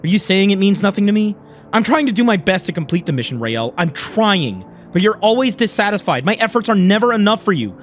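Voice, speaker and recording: A man's voice: high-pitched, big pitch swings, fairly quick, slight background noise. A man voicing a hurt, pleading young alien companion in an emotional story reading. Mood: hurt, frustrated.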